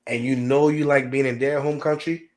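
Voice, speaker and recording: Calm man giving encouraging advice. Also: medium pitch, moderate pace. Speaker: discussion guest.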